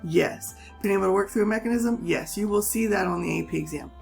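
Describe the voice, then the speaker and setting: A woman teaching a chemistry lesson, a little hoarse from a cold, talking students patiently through the material step by step. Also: medium pitch, fast, darkish tone, faint music behind. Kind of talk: recorded classroom lesson.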